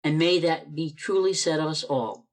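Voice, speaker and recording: Narrator, steady expressive storybook reading, video call. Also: medium pitch, normal speed. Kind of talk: storybook narration of a radio play.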